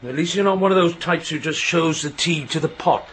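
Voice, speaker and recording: An actor playing a proud, touchy middle-aged signalman father in a radio drama, gruff and lecturing. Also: fairly high pitch, fairly quick, fairly bright tone, slight background noise, close to an everyday voice. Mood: fond, firm. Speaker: railway signalman in a radio play. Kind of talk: naturalistic radio drama acting.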